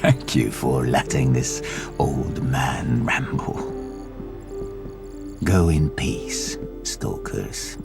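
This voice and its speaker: Game role: elderly mentor, gravelly bass, stern and fatherly, unhurried, music loud behind. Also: animated, heavily acted. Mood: weary, fatherly. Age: older. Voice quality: gravelly, deep. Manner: slow, grave, admonishing. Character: old sage leader, weary, stern, fatherly. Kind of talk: video game character acting.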